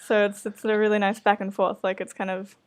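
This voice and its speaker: A woman's voice: mid-range voice, level tone, fairly quick. A woman co-hosting a live podcast event, chatting casually and informally, often with a giggle. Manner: informal, bubbly with frequent laughter. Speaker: podcast writer and co-host.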